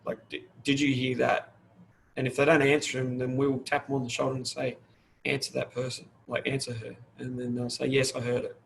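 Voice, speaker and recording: A man's voice: mid-range voice, monotone, fairly quick, vocal fry, slight background noise, low-quality recording. A middle-aged man, a fire service manager talking openly in an interview, restarting his sentences often. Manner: open, rambling, many restarts. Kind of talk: casual interview talk.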